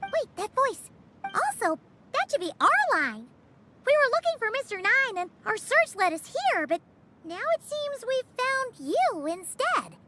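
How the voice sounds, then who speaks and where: A woman's voice: very high-pitched, sing-song, measured pace, noisy background, heavily acted. A woman voicing a small, childlike, chirpy fairy companion in a video game, with music behind her. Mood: curious. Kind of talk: video game character acting.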